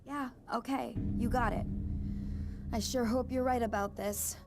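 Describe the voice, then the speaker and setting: A woman playing an uneasy young woman in a video game, talking in a halting, nervous, naturalistic way. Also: on the high side, fairly even intonation. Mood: nervous, hesitant. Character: uneasy, hesitant young woman caught in strange events. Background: music.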